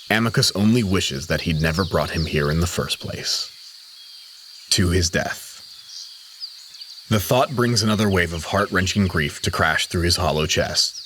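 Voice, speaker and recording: A man with a deep, low voice narrates a dramatic story. His delivery is solemn and measured, and faint insect-like night ambience sits behind him. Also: fairly bright tone, a little hiss or hum.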